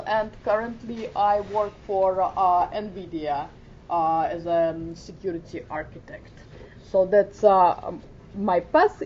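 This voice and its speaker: Middle-aged woman from Finland, halting conference speaker, dull recording with a low rumble. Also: a little mellow. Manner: hesitant, many fillers, plain delivery. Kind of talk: conference talk. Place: conference room. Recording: muffled conference audio with faint rumble.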